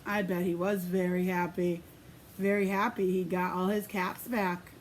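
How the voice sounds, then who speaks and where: A woman preschool teacher reading a picture book aloud in an expressive, sing-song way, pausing to put questions to young children. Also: on the low side, slight background noise.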